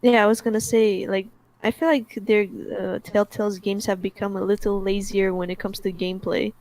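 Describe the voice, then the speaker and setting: A woman's voice: medium pitch, level tone, moderate pace, darkish tone. A woman co-hosting a podcast, chatting casually about games in informal, mildly sweary speech. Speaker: podcast co-host.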